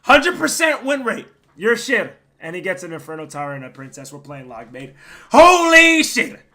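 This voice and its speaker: A young man, hoarse from losing his voice, giving casual, profane commentary over his gameplay and rambling between plays, sometimes breaking into loud yells. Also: sits high, big pitch swings, normal speed. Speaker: gaming streamer.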